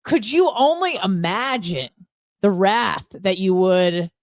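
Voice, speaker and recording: A woman's voice: medium pitch, animated, takes it slowly, dry recording, clean studio sound. A woman co-hosting a radio show, chatting casually and telling candid, gossipy stories with rambling asides.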